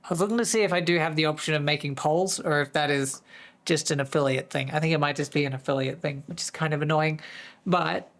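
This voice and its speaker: Hoarse streamer, casual live chat, rambling, easily sidetracked, many restarts. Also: mid-range voice, fairly even intonation, quick pace, fairly bright tone. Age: adult, working full-time. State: voice going hoarse.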